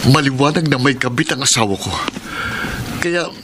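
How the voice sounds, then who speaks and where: An actor playing a distressed young husband in a classic radio drama, earnest and pained. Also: male voice, mid-range voice, expressive, normal speed, background noise, lightly acted. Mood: bitter. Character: distressed young husband who suspects his wife. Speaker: lead character and letter writer. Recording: loud drama music underneath. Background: loud background music.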